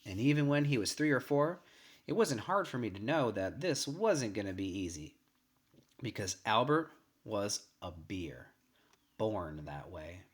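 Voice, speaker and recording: A man's voice: lowish voice, very animated, measured pace. Man reading a short story aloud. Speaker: story reader. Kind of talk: scripted narration of a short story, first person.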